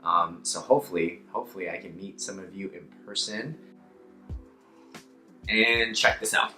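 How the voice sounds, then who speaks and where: A young man with a hoarse, slightly raspy voice, an upbeat yoga teacher chatting playfully in a casual vlog. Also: low-pitched, moderate pace, roomy sound, music in the background. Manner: upbeat, playful, self-mocking.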